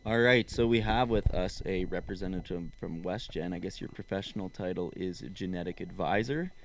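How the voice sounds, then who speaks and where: A man's voice: low-pitched, normal speed, gravelly, slight background noise. A young man co-hosting a podcast, laid-back and joking, laughing often. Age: young adult. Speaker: podcast co-host. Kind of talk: casual podcast chat.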